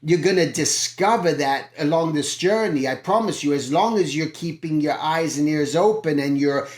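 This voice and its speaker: An earnest man with a slightly high voice gives a motivational talk as an art teacher. He encourages his listeners and keeps looping back on his own phrases and questions. The sound is roomy and echoey. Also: moderate pace. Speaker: art teacher and channel host.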